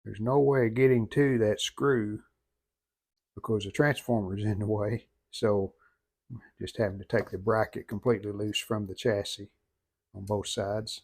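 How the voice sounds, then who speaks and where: A man's voice: fairly low pitch, steady tone, moderate pace, a little mellow, breathy edge. A middle-aged man giving unscripted how-to commentary at a workbench in a plain, folksy, matter-of-fact way. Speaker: hobbyist repair vlogger. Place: indoor home workshop at a workbench.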